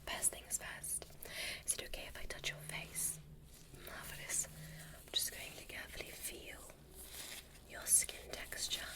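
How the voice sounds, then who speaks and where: Woman to play courteous alien space doctor for ASMR roleplay; hushed whisper, unhurried, soothing instructions. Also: close to an everyday voice. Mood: welcoming, reassuring. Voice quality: soft breathy whisper. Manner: slow, soothing, gently instructing. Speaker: ASMR performer.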